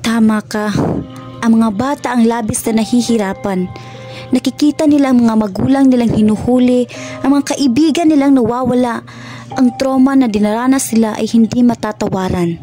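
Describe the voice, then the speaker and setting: A brave, caring young activist acted by a woman, with a high voice. The delivery is earnest and dramatic in amateur radio drama style, over faint music. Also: moderate pace, warm tone, close to an everyday voice. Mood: solemn, resolute.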